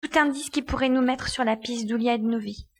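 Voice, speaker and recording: A woman playing a decisive, bossy young woman leading a secret search in an audio drama, with a brisk, commanding manner. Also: on the high side. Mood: businesslike.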